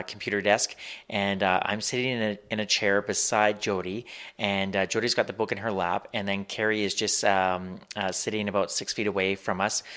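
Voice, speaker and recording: A man hosting a podcast with a casual, unscripted introduction, often pausing mid-sentence with ums. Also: fairly low pitch, fairly bright tone, clean tone. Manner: halting, frequent ums and pauses mid-sentence. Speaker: podcast host. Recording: music playing behind voice. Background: music.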